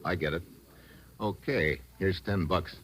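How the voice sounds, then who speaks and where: An actor playing a tough, sardonic American agent, narrating and talking in hard-boiled old-time radio drama style. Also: male voice, deep voice, a little slow, a bit bright, slightly rough, full character voice. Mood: impatient. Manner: sardonic, slangy.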